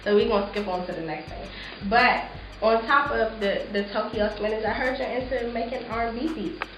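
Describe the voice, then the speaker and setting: Dc-lady hosting, teasing and playful, kinda high voice, echoey noisy studio. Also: a little fast, lo-fi sound. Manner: playful, teasing, frequent shout-outs. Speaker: interview host. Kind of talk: casual hip-hop interview hosting. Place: small recording studio.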